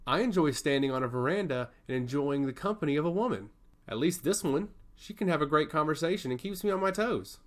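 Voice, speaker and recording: A man's voice: on the high side, animated, brisk, voice pushed up, natural voice. A man voicing a passionate god of chaos who loves humanity, speaking in earnest, emphatic monologue in a fantasy story. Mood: fond. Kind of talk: fantasy storybook character dialogue.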